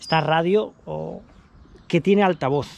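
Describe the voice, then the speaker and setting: A man's voice: fairly high pitch, measured pace, vocal fry, darkish tone, dull audio, slight background noise. A man, casually showing his kit outdoors by his car, chatty and repeating himself as he talks to an action camera held at arm's length. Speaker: vlogger. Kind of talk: casual show-and-tell vlog.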